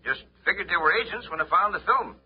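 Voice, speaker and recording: An actor playing a folksy, naive, good-natured settler with an eager, hesitant manner in an old space radio drama. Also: male voice, sits high, expressive, quick pace. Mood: earnest, hesitant. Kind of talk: 1950s radio drama acting. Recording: muffled old radio recording, faint noise.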